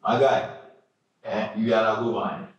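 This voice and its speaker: Low-voiced male instructor in echoey room. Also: steady tone, unhurried, recorded from a distance, muffled recording. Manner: directive, repeats short commands. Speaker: design instructor. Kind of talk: classroom software tutorial. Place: classroom. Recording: echoey room with faint rumble.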